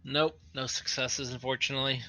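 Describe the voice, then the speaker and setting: A man's voice: medium pitch, level tone, brisk, bright, forceful tone. A man playing a tabletop roleplaying game, talking casually as he plans his character's moves.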